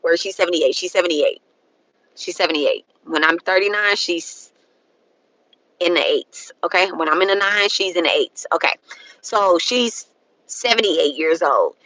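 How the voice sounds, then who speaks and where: A woman in her thirties talks loosely and frankly about spiritual matters, circling back and repeating herself. Her voice is bright and forward. The sound is dry and close. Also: on the low side, normal speed, dry recording, low-quality recording. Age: 30s. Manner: rambling, repeats herself, adds "okay?" often; frank and profane, colloquial phrasing. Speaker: spiritual content creator. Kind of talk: casual spiritual talk to viewers.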